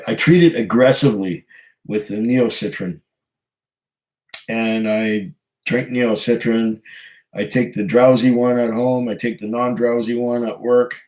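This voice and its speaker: A man with a hoarse voice from a cold, hosting a casual live stream, reading viewer comments and chatting in a rambling, hesitant way. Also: medium pitch, a little mellow, low-quality recording. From Canada. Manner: rambling, hesitant, chuckles often. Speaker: whiskey channel host reading live chat.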